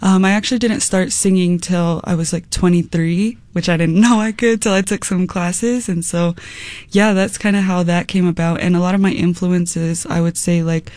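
A woman's voice: on the low side, normal speed, no room sound. Brief: young woman, twenties, radio guest, laughs often, loose filler-heavy speech, dry close mic. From United States. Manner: giggly, relaxed, many fillers. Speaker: guest musician. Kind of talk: casual radio interview.

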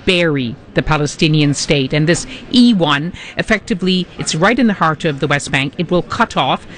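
A male correspondent with a slightly raised pitch, explaining the news in a measured way. He keeps restarting his sentences as he works through each point. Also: fairly bright tone. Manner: fluent, measured, with frequent restarts. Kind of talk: live news analysis.

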